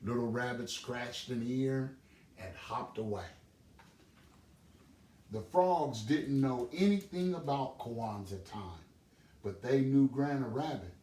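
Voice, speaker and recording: A man reading a children's storybook aloud as an expressive storyteller. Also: medium pitch, takes it slowly, pauses often. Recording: roomy, distant microphone, background noise.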